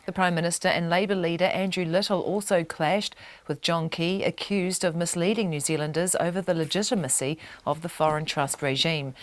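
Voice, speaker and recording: A woman reads the radio headlines from a script, measured and formal. Her voice sits fairly low for a woman. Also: steady tone, dry recording. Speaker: newsreader. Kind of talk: scripted news bulletin.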